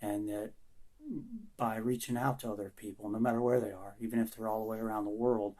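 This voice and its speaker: A man who is losing his voice answers interview questions about writing song lyrics, speaking in a halting, thoughtful and sincere way with many pauses. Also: lowish voice, level tone, warm tone. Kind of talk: recorded self-interview answers.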